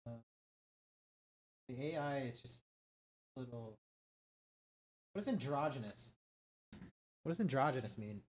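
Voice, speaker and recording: A man's voice: medium pitch, animated, unhurried, long pauses. A man in his thirties chatting casually on a live stream, musing aloud into a close mic. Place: dim home streaming room. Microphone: close desk microphone.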